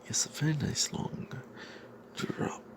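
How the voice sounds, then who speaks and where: A man telling a fantasy tabletop tale as whispered ASMR, in a breathy, halting way. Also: noisy background.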